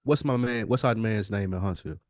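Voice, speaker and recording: A low-voiced man with an American accent, recorded close and dry. He hosts in an informal, slangy way, swearing freely as he chats with a friend. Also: brisk, darkish tone, dry recording. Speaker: podcast host. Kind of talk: casual banter and chat between friends.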